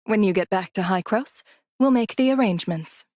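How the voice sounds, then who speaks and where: A woman acting as a poised mentor figure in an anime game. She has a mid-range voice in a dry studio recording. Her delivery is deliberate, instructive and sure of its authority. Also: mid-range voice, a little mellow, dry recording, lightly acted. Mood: assured.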